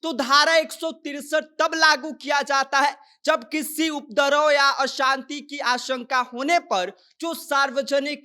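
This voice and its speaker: A woman's voice: sits high, sharp tone. A woman presenting a news explainer in a scripted reporting style.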